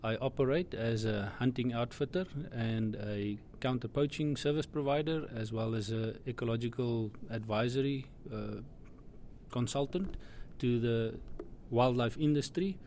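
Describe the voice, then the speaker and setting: A man answering interview questions as a hunting outfitter, measured and businesslike with some fillers. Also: mid-range voice, darkish tone, slight background noise. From South Africa.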